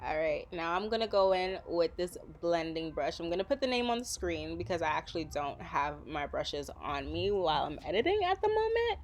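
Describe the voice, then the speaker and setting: A woman with a somewhat low voice talks viewers through a makeup look. Her delivery is easygoing and chatty, full of casual fillers, like a vlogger narrating over her own footage. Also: normal speed, hardly any pauses. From Trinidad and Tobago. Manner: chatty, relaxed, with frequent fillers.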